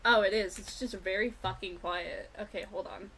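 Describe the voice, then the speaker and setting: A woman streaming a video game with casual, excitable, gushing and sweary live commentary. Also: mid-range voice, moderate pace, a little hiss or hum, low-quality recording. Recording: music behind the voice. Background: video game music.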